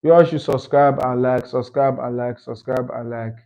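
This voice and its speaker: A man in his twenties hosting a live stream, thanking viewers and repeating shout-outs to the chat. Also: medium pitch, fairly even intonation, normal speed. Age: 20s. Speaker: livestream host.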